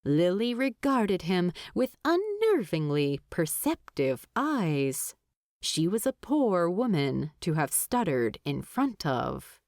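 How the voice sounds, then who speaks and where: A woman narrating a story from a script in an expressive storytelling style. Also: on the low side, takes it slowly, no echo, studio quality.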